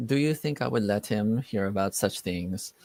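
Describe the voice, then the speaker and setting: A man playing a stern, discreet Duke with a measured, formal, admonishing manner in a tabletop game. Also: on the low side, lively intonation, darkish tone, no echo. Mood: measured, guarded. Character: stern, discreet Duke scolding his younger relative.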